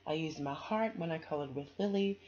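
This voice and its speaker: A woman's voice: low-pitched, fairly quick, darkish tone, muffled recording, natural voice. A woman reading aloud as an earnest, thoughtful young girl in a children's storybook. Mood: earnest, content.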